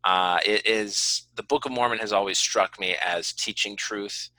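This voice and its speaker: A man's voice: lowish voice, steady tone, moderate pace, sharp tone, dry recording, low-quality recording. A man hosting a podcast, talking casually in an earnest, explanatory way and qualifying his points as he goes. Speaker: podcast host. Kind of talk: casual conversational interview talk.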